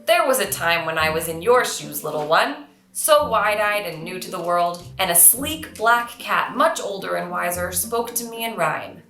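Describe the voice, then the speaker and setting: A cocky little boy kitten as performed by a woman holds forth pompously, like a self-appointed big guy in a storybook. The voice is bright and sits in an echoey room. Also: on the low side, animated, moderate pace, hardly any pauses. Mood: wistful, pompous. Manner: pompous, speechifying. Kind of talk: storybook character voice. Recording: roomy echo, music behind.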